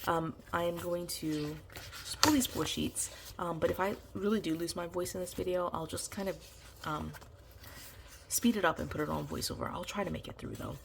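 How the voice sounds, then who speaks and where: A woman's voice: fairly low pitch, moderate pace, creaky, background noise. A woman vlogger chatting casually through her planner decorating, nasal from congestion and sick, her voice starting to give out. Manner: chatty, meandering, full of ums and you-knows. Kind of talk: casual plan-with-me chat.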